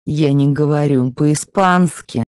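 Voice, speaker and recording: A speaker slowly repeating short phrases for a language lesson, with careful, deliberate pronunciation. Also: medium pitch, darkish tone, clean tone, dry recording, studio quality. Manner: slow, deliberate enunciation. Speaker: Russian phrase reader. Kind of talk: slow scripted phrase reading.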